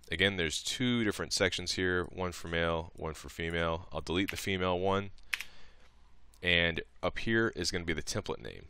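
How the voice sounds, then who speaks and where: A man presenting a casual, step-by-step software tutorial in an informal, explanatory way. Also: rumbling low, no echo.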